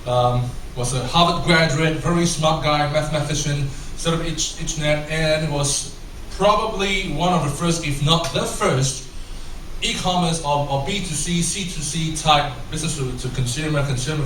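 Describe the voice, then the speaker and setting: A man's voice: on the high side, fairly bright tone. A man from Singapore with a mixed English and American accent, a corporate trainer giving a chatty talk and quizzing his audience. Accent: mix of English and American. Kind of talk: conference talk with audience questions. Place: large echoey hall with an audience. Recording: echoey hall, voice from a distance, noisy.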